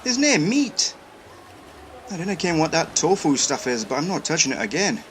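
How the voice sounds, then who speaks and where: Male actor as young Scottish Highlander, restless and hearty, highish lively voice, colloquial, noisy background. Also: normal speed. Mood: grumbling. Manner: colloquial, grumbling. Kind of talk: audio drama acting. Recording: audible background noise.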